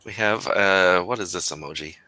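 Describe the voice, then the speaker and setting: Bright-voiced man hosting a chatty stream. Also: deep voice, normal speed, dry recording, rough recording. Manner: chatty, self-interrupting, laughs often. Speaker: host of a writing sprint stream. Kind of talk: casual livestream chat, reading viewer comments.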